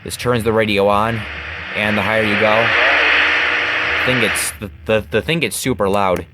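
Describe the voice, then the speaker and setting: A man giving a casual hands-on demonstration in a halting way, often repeating phrases, recorded at home on a handheld camera. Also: on the low side, steady tone, heavy background noise. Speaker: video maker showing a gadget. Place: carpeted room at home. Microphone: handheld camera mic.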